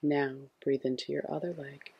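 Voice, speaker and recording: A man with a husky, breathy voice gently guiding a sleep meditation in a soothing, hushed way. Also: on the high side, level tone, normal speed, dull audio. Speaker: meditation guide.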